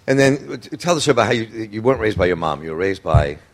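A male interviewer speaks fast in a low voice that rises and falls widely. He nudges his guest along with quick, unfinished prompts, and faint noise sits underneath. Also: very animated. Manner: prompting, quick, trailing half-sentences.

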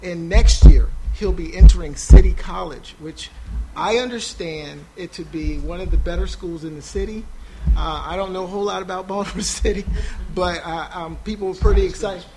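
A man speaking on a panel about his son's schooling, halting with many fillers and restarts. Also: high voice, noisy background. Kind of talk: personal testimony at a panel. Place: small intimate room. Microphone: no PA, recorded for a podcast.

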